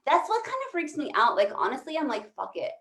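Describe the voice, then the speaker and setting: A woman's voice: high-pitched, very animated, fast talker, a bit bright. Rambling woman comic in an echoey room. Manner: rambling, profane, self-interrupting. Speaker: stand-up comedian. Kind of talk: conversational stand-up comedy.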